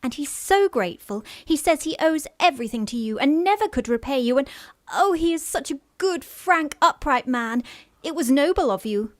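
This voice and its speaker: Radio farce: actress as trusting, sincere young lady of Victorian times, very high pitch, gushing and polite. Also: expressive, moderate pace, no room sound, studio quality. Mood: earnest, admiring.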